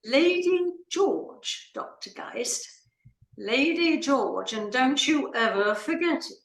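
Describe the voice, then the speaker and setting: A woman's voice: high-pitched, animated, takes it slowly, creaky, roomy sound, exaggerated voice. A woman playing an imperious, theatrically emotional aristocratic lady in a comic stage play, with a declamatory manner. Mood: composed, grand. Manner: declamatory, dramatic.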